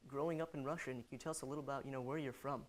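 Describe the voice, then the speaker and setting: A man's voice: mid-range voice, steady tone, rapid-fire, a little hiss or hum. A man anchoring a student news show, reading scripted lines and asking loose interview questions padded with "you know".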